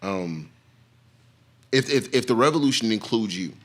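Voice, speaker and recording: A man's voice: lowish voice, lively intonation, normal speed. A man who is a guest in a casual chat, speaking in a preachy way and building to emphatic points.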